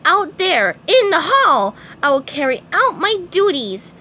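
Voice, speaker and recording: A woman voicing an eager, excitable young male sea sponge who speechifies pompously in a storybook reading. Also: extremely high pitch, a little slow, dull audio, a little hiss or hum, voice pushed up, heavily acted. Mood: pompous, zealous. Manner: pompous speechmaking, shouting with zeal. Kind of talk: storybook read-aloud.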